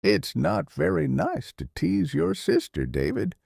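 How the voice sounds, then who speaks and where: Slow, animated old grandfather storyteller. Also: on the high side, gravelly, breathy edge, dry recording, studio quality, exaggerated voice. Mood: gentle. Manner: unhurried, expressive, theatrical delivery. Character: kindly old grandfather storyteller telling Bible stories. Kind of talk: dramatized audio story narration.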